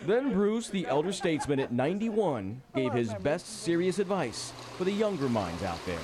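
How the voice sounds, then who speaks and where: A man's voice: on the high side, sing-song, normal speed, a crowd in the background. A man narrating a scripted television news feature as the reporter, with a playful, lilting delivery.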